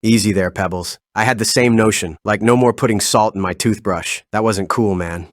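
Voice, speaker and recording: A man's voice: fairly low pitch, normal speed, no room sound, clean studio sound, lightly acted. A man playing a cocky young fire-powered superhero in fan-made game dialogue, breezy, boastful and slangy. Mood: teasing.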